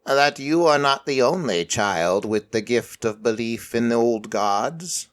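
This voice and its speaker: Man voicing a slow, solemn ancient raven god. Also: mid-range voice, expressive, close to an everyday voice. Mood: measured. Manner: solemn, measured, formal archaic phrasing. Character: ancient formless deity in raven form, solemn, protective. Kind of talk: audio fiction character acting.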